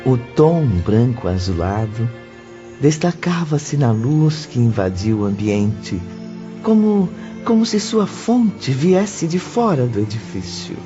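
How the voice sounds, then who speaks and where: A man acting as the measured, formal narrator of a spiritist audio drama. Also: mid-range voice, sing-song. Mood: solemn. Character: solemn male narrator of a spiritist audio novel. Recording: dull lo-fi audio with music behind. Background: clear background music.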